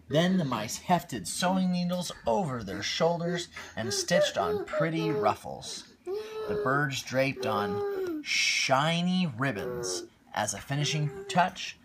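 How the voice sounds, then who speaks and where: A man reading a picture-book story aloud to a young child on a phone livestream, gently stopping to chat with the child. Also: medium pitch. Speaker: storytime reader.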